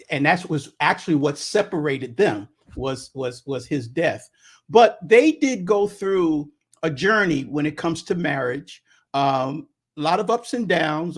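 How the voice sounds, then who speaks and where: A man, speaking a little higher than most men. He talks about marriage as a guest instructor in a casual, halting way, stopping and restarting often with ums. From Brooklyn, New York.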